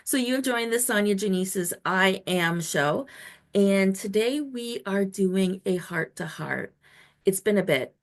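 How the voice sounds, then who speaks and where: An enthusiastic woman in her fifties hosts a conversational podcast. Her voice sits at an average pitch. She talks informally and effusively, addressing guest and listeners like friends. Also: expressive, measured pace. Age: 50s. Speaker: show host and health coach. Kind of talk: chatty podcast interview hosting.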